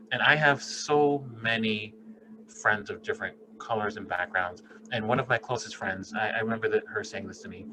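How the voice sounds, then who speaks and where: A man with a fairly low voice talks through a casual podcast conversation in an earnest, thoughtful way and swears freely. Steady background noise runs clearly under the recording. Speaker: podcast co-host, doctor.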